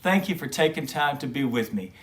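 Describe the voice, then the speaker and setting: A man reading a fairy tale aloud to children in a gentle, friendly storybook manner, then chatting warmly to his young listeners. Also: medium pitch, expressive, a little fast. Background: guitar music.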